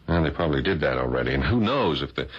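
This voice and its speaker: An actor playing a curious, good-humoured, mildly exasperated husband who coaxes and banters in an old-style radio mystery. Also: male voice, rumbling low, animated, fast, husky, exaggerated voice. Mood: curious, coaxing. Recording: dull, lo-fi radio drama recording.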